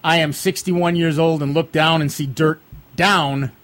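A man in his sixties with a notably high speaking voice hosts a radio show from the studio. He jokes at his own expense and keeps the chat loose and easygoing. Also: fairly even intonation, measured pace, a bit bright. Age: 60s. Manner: joking, self-deprecating.

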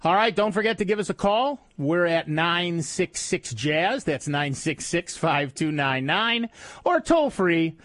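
A man's voice: on the high side, unhurried. A man hosting a call-in radio show in a studio, chatting loosely with fillers. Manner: relaxed, rambling with fillers. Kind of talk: casual call-in radio chat. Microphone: studio mic with headphones.

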